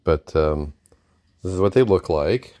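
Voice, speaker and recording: A man's voice: low-pitched, animated, measured pace, dark tone. A middle-aged or older man giving a casual, unscripted product demonstration in a hesitant, rambling, self-deprecating manner. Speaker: vintage radio collector presenting a receiver. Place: indoor room, at a desk with radio gear.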